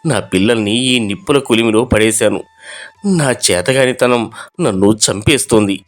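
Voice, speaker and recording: A man's voice: on the low side, moderate pace, faint music behind, lightly acted. Man acting a sorrowful, betrayed older village father. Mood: guilty, grieving. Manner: sorrowful, self-blaming.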